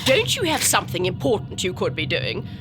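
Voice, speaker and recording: A woman's voice: mid-range voice, wildly swooping pitch, brisk. A woman playing a haughty, exasperated cargo officer with a theatrical, scolding manner in a sci-fi audio drama. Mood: withering sarcasm. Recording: music behind the voice.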